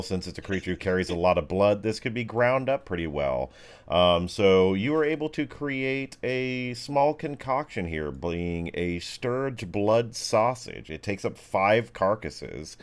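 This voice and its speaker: A man's voice: deep voice, smooth. A man improvising narration as game master of a tabletop game, talking in a casual, rambling way.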